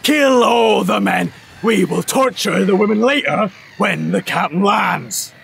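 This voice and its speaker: A man playing an eager, hot-headed pirate crewman in an audio drama, excitable and theatrical. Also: high voice, measured pace, creaky, slight background noise, full character voice. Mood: fierce, excited.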